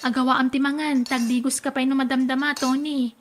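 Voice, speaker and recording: An actress playing a dutiful, self-sacrificing young aunt in an Ilocano radio drama, with an Ilocano accent. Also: female voice, sits high, level tone, a little fast, loud music behind the voice, lightly acted. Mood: patient.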